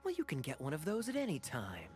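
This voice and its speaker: An actor playing a suave young swordsman in a fantasy game, with a grandiose, measured, theatrical delivery. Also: on the high side, wildly swooping pitch, darkish tone, soft background music. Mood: suave, relaxed. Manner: grandiose, measured, dramatic pauses. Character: suave young swordsman acting a noble tragic stage prince. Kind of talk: theatrical stage-play declamation in a fantasy video game.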